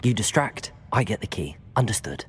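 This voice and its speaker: Earnest student wizard, lively intonation. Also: male voice, fairly low pitch, normal speed. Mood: eager. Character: eager, earnest young student wizard hero. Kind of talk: video game dialogue acting. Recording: faint background noise.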